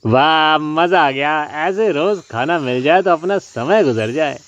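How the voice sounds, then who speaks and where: A man's voice: on the high side, unhurried, fairly bright tone. A man voicing a sly, lazy, wheedling rabbit in a children's cartoon story. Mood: lazy, content. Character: sly, lazy, freeloading rabbit who pleads and schemes. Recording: dull lo-fi sound with faint music. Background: faint music.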